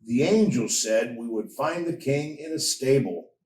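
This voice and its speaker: A man's voice: mid-range voice, animated, a little slow, darkish tone, natural voice. A man voicing an earnest, urgent shepherd father in a storybook, speaking emphatically. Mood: exasperated. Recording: roomy echo, distant from mic.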